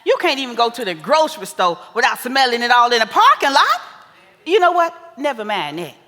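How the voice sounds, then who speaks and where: An actress plays an older American mama in a stage play, folksy and blunt but doting. Her voice is fairly high and bright, and she draws out her phrases to make a point. It rings in a reverberant theater hall. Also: sing-song. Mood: teasing, matter-of-fact. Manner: folksy, drawn-out emphatic phrasing.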